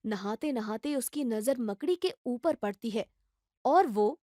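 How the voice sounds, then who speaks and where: A woman narrating a scripted children's story in a steady, plain storytelling manner. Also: fairly high pitch, a little slow, dry recording, clean studio sound.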